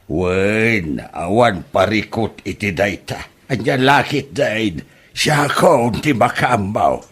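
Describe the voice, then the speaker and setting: A man's voice: fairly low pitch, lively intonation, takes it slowly, fairly bright tone, husky, heavily acted. A man with an Ilocano accent playing a chatty older village uncle in a comedy drama. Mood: easygoing. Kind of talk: comedy radio-style drama acting.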